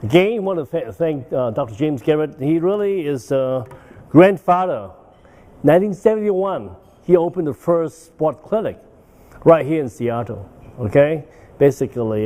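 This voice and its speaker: Man in his 60s, medical lecture, rambling, soft mellow tone. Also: mid-range voice. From Hong Kong. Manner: rambling, with frequent "uh" and "okay?" tags. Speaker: guest lecturer. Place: banquet hall.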